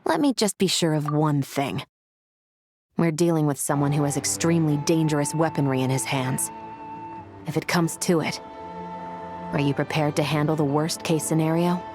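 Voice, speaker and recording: A stern female officer acted by a woman with a low voice, principled and measured. She speaks with serious authority in a video game scene, over faint music. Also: lightly acted.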